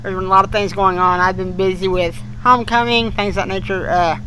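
A young podcast co-host with a bright, forward voice and middling pitch chats informally. There is faint noise behind the casual discussion. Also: little pitch movement, brisk, poor audio.

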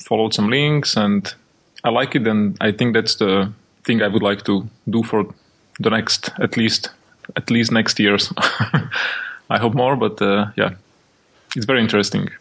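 Young slovak guy on a podcast, gravelly lowish voice, halting english. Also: a bit bright. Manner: halting, searching for words in English. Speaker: guest. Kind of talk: podcast interview.

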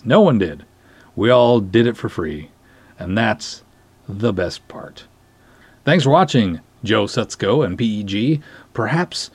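A man's voice: lowish voice, darkish tone, slightly rough, no echo. A man hosting a casual solo podcast, talking and reading aloud in a wry, self-deprecating way. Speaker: podcast host.

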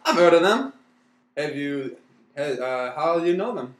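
Highish, flustered 1930s businessman, acted by a man. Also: lively intonation, unhurried. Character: anxious, desperate 1930s radio talent-seeking businessman. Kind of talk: old-time radio comedy acting. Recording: roomy, echoing room sound.

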